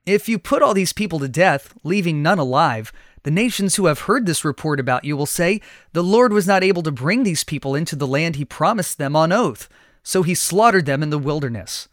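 A man's voice: fairly high pitch, animated, fairly quick, no room sound, studio quality, natural voice. A man voicing a humble, earnest prophet pleading for mercy in a reasoned way, in a Bible story.

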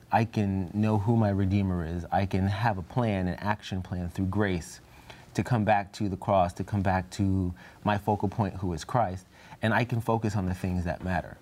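A man's voice: deep voice, a little mellow. A man speaking reflectively and earnestly as a panelist in a Bible study discussion.

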